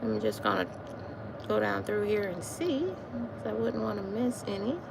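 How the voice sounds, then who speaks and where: A woman in her forties chatting casually on a livestream while out walking, repeating herself warmly and calling her viewers y'all. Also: medium pitch, very animated, moderate pace, vocal fry. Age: 40s. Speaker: livestream host. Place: outdoors on a walk, wind noise. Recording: faint wind noise.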